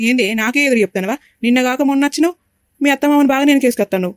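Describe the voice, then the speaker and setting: A woman acting as a quarrelsome mother in a village family drama. She scolds scornfully in Telangana Telugu at a furious speed, high-voiced and recorded close and dry. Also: very fast, no room sound, lightly acted. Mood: scornful anger. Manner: scolding, insult-laden.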